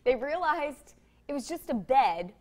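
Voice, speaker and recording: A woman's voice: high-pitched, sing-song, a little slow, a little mellow. An American woman in her thirties co-hosting a talk show with casual banter, chattily hopping between half-finished thoughts. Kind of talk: casual co-host banter and topic reading. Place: TV studio.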